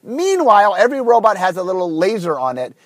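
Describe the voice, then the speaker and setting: A man talking through a cold, his voice husky, rambling along with many ums and false starts. His voice sits fairly high and sounds close and dry. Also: big pitch swings, quick pace, dry recording. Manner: rambling, full of ums and restarts. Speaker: podcast host. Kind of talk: casual solo podcast storytelling. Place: car, recording on the drive to work.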